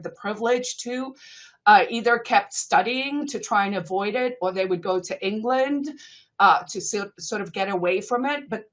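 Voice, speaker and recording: A middle-aged woman from South Africa, a native Afrikaans speaker with a South African accent, talking as an interview guest in a lively, animated way, laughing between points. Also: medium pitch, low-quality recording. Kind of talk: conversational interview storytelling.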